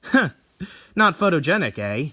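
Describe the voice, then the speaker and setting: Someone acting out a cocky, dramatic painter with husky edge. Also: mid-range voice, big pitch swings, a little slow, bright, forceful tone, exaggerated voice. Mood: wry, confident. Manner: wry, blunt, boastful, dramatic. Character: cocky, proud, hot-tempered male painter.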